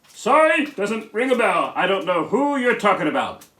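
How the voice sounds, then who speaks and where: Casting: an excitable, evasive puppet dragon for kids' storytime; high, wildly swooping delivery, theatrical exclamations. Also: male voice, recorded from a distance, exaggerated voice. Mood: panicky. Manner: theatrical, exclaiming, feigned innocence. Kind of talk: children's puppet storytime skit. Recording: echoey room, off the mic.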